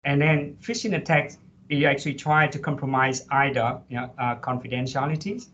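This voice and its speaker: A man's voice: mid-range voice, steady tone, normal speed, fairly bright tone. A middle-aged man, a lecturer on a panel, explaining point by point with many fillers over a video call headset. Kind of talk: panel discussion answer. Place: office with headset. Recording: dull lo-fi video call audio.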